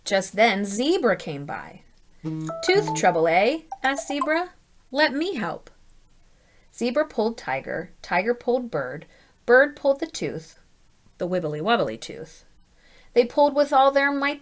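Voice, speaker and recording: Woman, teacher's storybook read-aloud, lively swooping intonation, unhurried pace, deliberate delivery. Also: fairly high pitch. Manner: animated, deliberate, asks listeners questions.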